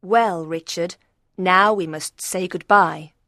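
A man voicing a timid, tired young boy in a read-aloud storybook, speaking quietly and wearily. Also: sits high, slow, dry recording, voice pushed up, full character voice. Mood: weary, sad. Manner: quiet, weary pleading.

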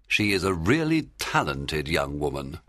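Actor as forceful, blunt Regency gentleman. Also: very deep voice, big pitch swings, a little slow, dry recording, clean studio sound, full character voice. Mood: challenging. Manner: forthright. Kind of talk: dramatised audiobook acting.

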